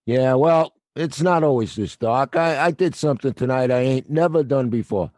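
An actor playing a gruff theater night watchman with a blunt, working-class New way of talking, in an audio drama. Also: medium pitch, moderate pace, darkish tone, dry recording, studio quality, natural voice.

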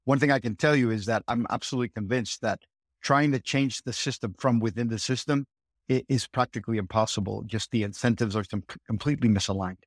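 A man's voice: medium pitch, fairly quick, no room sound. Middle-aged guy from guatemala w/ an american accent, earnest, keeps restarting, dry close sound. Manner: earnest, repeats words as he restarts sentences. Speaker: guest, startup city co-founder. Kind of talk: podcast interview.

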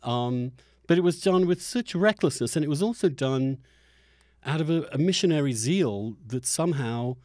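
Middle-aged man, emphatic and halting, dry sound. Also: a little high, animated, a little mellow, no room sound. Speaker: podcast host. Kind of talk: conversational interview with opinionated commentary.

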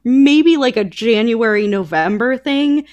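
A woman's voice: on the high side, lively intonation, unhurried, darkish tone, dull audio. A woman hosting a podcast in a casual, conversational style, informal and full of asides. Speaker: podcast host. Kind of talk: casual conversational book chat.

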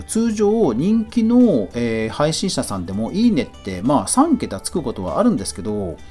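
Guy doing a chatty solo podcast news roundup, pitch jumps around a lot, guitar music behind him. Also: dark tone. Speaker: podcast host and YouTuber.

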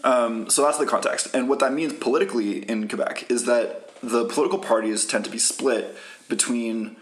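A man's voice: medium pitch, normal speed. A man co-hosting a podcast, explaining political background casually with many "like"s and "um"s. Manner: explains at length, full of "like" and "um". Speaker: podcast co-host.

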